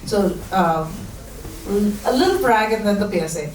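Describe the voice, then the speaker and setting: A woman from India, a fund founder, giving an informal spoken pitch at a panel event. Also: lively intonation, moderate pace. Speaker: fund founder and managing partner. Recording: echoey room, distant mic, background noise.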